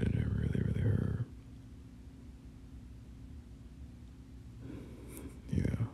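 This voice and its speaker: A man playing a gentle, doting boyfriend in an ASMR roleplay, half-whispering soothing, hesitant reassurance in a breathy murmur. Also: very deep voice, heavily acted. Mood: sympathetic, reflective. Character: gentle, doting boyfriend comforting an unwell partner.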